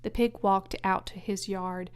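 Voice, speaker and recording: Slow, deliberate woman reading a storybook. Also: medium pitch, steady tone, clear, resonant tone. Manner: deliberate, carefully phrased. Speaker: children's audiobook reader. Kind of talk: expressive storybook narration.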